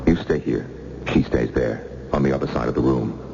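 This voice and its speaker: An actor playing a menacing, coldly polite interrogator with a silky, taunting manner in an old radio thriller. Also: male voice, extremely low pitch, moderate pace, vocal fry, dark tone. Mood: calm menace. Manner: silky, mock-courteous, taunting. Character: menacing male interrogator, coldly polite villain threatening torture. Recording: muffled, hissy old radio recording.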